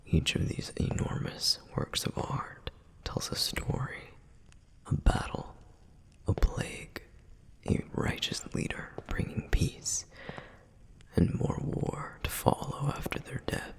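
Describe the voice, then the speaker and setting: Guy doing a slow whispery flame demon, gentle asmr storyteller, reverent, husky, big echoey hall. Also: close to an everyday voice. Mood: reverent, fascinated. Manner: measured, reverent, gently explaining, pausing often. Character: male flame demon, protective, reverent, softly flirtatious lore guide. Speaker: audio roleplay performer. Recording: long reverberant hall echo.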